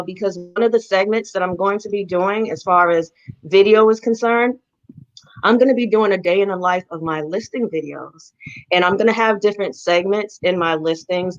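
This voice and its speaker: A woman's voice: mid-range voice, dull audio. A woman with a Boston accent, a real estate agent guest talking enthusiastically about her plans. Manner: enthusiastic, talkative, spells things out slowly. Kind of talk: casual interview chat.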